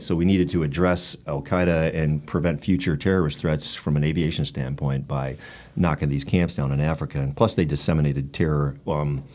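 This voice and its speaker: A man's voice: rumbling low, a little mellow. A middle-aged man telling stories conversationally as a podcast guest, talkative and matter-of-fact. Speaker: podcast guest, former military physician assistant. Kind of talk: conversational storytelling.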